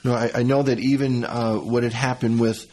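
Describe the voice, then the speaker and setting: A male radio talk show host with a slightly husky voice at an ordinary pitch. He rambles, pausing on fillers and restarting sentences as he talks health topics with his guests. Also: level tone, dark tone. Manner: rambling, halting, with many fillers.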